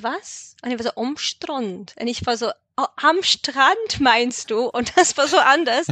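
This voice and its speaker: A woman's voice: on the high side, bright, forceful tone, no room sound. A woman from England with an English accent, a guest on an interview telling anecdotes in learned German, simple phrasing and frequent laughs. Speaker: guest, language teacher. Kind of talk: casual interview chat.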